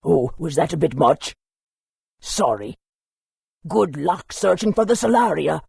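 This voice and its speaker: An actor playing a long-winded, helpful old scholar in a fantasy video game, with a very raspy, creaky voice. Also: male voice, a little high, lively intonation, measured pace, darkish tone, no echo, full character voice. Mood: helpful, wise. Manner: wordy, instructive.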